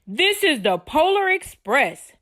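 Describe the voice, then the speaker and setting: A woman's voice: high voice, sing-song, takes it slowly, sharp tone, no room sound, clean studio sound, voice pushed up, exaggerated voice. A woman voicing a hearty male train conductor in a storybook read-aloud. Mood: hearty, booming.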